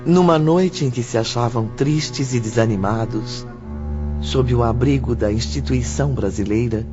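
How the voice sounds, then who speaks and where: Guy narrating a dramatic audiobook, takes his time, music clearly behind him. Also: mid-range voice, unhurried, muffled recording. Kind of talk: scripted dramatic storybook narration.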